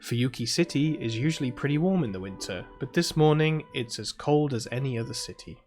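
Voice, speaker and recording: A proud schoolgirl mage, half asleep and grumbling through a cold morning, read by a British man in his ordinary voice. The pitch sits mid-range and the reading moves in a plain, unhurried way. Faint music plays underneath. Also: lively intonation, a little mellow, natural voice. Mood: drowsy. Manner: drowsy, grumbling first-person reading. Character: sleepy proud teenage girl mage. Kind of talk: reading a visual novel aloud.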